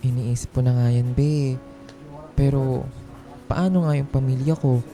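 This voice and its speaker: Actress as wounded office employee, low mellow female voice, deferential, Filipino accent, radio drama. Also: fairly even intonation, measured pace, soft background music. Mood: weary, discouraged. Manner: deferential, pleading. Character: earnest, put-upon young office employee, polite and wounded.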